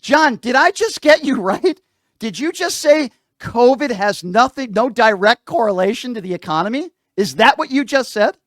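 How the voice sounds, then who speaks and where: Man, high voice, dry sound, political call-in host, argumentative, insistent, repetitive emphasis. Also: animated, moderate pace, fairly bright tone, no echo. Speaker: live call-in show host. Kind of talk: political debate with a caller.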